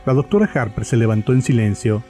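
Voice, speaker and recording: A man's voice: mid-range voice, animated, quick pace, warm tone. A man narrating a scripted horror story as the narrator of an audio drama. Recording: music under the voice.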